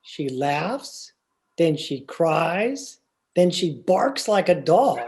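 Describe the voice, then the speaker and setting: An earnest, flustered husband played by a man in an old-time radio comedy. He speaks slowly and hesitantly, with big swoops of pitch when exasperated. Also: very animated, a little mellow, exaggerated voice.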